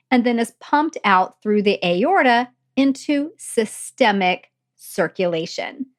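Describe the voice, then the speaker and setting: A woman hosting a nursing podcast, her voice a little croaky and gravelly from a lingering cough, explaining step by step and repeating key points. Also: fairly high pitch. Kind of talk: casual teaching talk.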